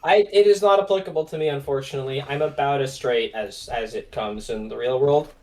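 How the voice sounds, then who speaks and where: A man's voice: medium pitch, brisk, a little mellow. A man co-hosting a casual Q&A, joking and self-deprecating as he reads out questions, on a distant mic with noise suppression. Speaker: co-host. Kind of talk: casual chat. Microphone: mic set far away, noise-suppressed.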